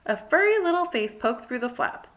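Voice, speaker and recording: A woman's voice: high-pitched, big pitch swings, normal speed, highs cut off. A woman narrating a scripted children's story as a read-along. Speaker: storybook reader.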